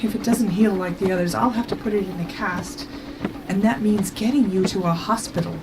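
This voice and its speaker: A woman's voice: mid-range voice, brisk, noisy background, close to an everyday voice. A woman playing a practical, worried companion in an audio drama, questioning and no-nonsense in manner. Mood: firm, worried. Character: practical, anxious female companion of a time traveller.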